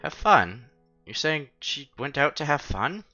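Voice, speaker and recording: Male game streamer, bright forceful voice, animated dramatic read-aloud with casual asides, slightly muffled recording. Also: on the high side, unhurried. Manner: animated, acts out protagonist's lines. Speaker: streamer reading a visual novel aloud. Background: soft game music.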